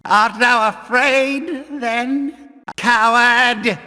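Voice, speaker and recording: A fierce hammer-wielding fanatic in a game, very high-pitched for a man and forceful. He swoops through bombastic, menacing archaic battle lines inside a long reverberant hall. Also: steady tone, drawn-out words, full character voice. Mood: battle fury. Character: fierce, fanatical male warrior-priest with a hammer. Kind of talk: archaic video game combat barks.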